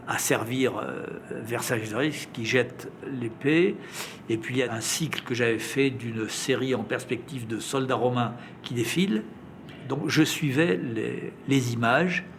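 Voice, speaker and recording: A man's voice: medium pitch, a bit bright, slight background noise. An older man telling stories in an interview, acting out remembered remarks as he goes. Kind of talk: conversational storytelling.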